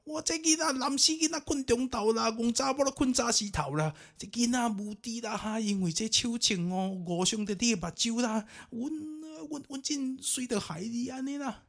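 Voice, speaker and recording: A man's voice: very high-pitched, normal speed, creaky, hardly any pauses, pitched above the performer's own voice, exaggerated voice. A man voicing a flustered family elder in a folk tale, wailing and begging forgiveness humbly. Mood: pleading, tearful. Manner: wailing, flustered, self-abasing. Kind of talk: dramatized ghost-story storytelling.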